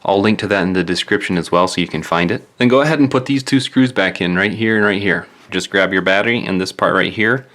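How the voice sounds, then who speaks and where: Male tutorial presenter, lowish voice, informal and matter-of-fact, walking viewers through hands-on steps. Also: quick pace, hardly any pauses, fairly bright tone. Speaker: DIY phone repair presenter. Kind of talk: casual step-by-step tutorial narration.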